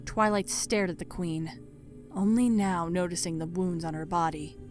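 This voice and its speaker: A woman's voice: lowish voice, fairly even intonation, moderate pace. A woman reading scripted story narration as the narrator. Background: music.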